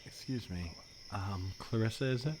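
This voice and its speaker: A man playing an earnest, rambling know-it-all economist in an improvised comedy podcast, explaining things in a halting way. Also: on the low side, voice pushed up, natural voice. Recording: faintly noisy remote podcast recording.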